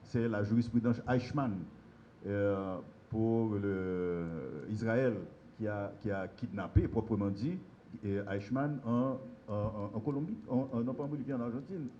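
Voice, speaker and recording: A man's voice: fairly low pitch, unhurried, mellow, lo-fi sound. A man giving a conference talk on law, hesitant, with many fillers and restarts. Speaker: legal conference speaker. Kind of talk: lecture on extradition law. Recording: very echoey hall, noisy.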